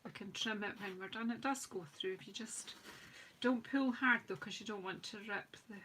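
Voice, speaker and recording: A woman with a slightly croaky voice, chatting and rambling as she hosts a live craft demonstration. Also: mid-range voice, normal speed, fairly bright tone, slight background noise, low-quality recording. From United Kingdom. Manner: chatty, rambling, self-deprecating, talks while working. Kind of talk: live-stream craft demo chat.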